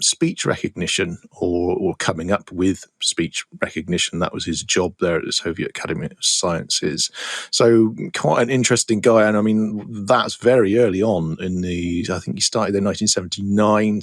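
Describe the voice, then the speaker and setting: A man's voice: deep voice, dry recording. A middle-aged man hosting a podcast in casual chat, rambling with many restarts. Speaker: podcast host.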